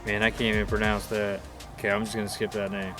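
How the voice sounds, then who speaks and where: A man's voice: fairly low pitch, little pitch movement, quick pace, pushed and bright, faint music behind. A young man from Ukraine hosting his RC car channel, chatting in a casual, laid-back way outdoors on a windy day. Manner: laid-back, chatty, loose phrasing with "dude" and "like". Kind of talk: casual vlog chat.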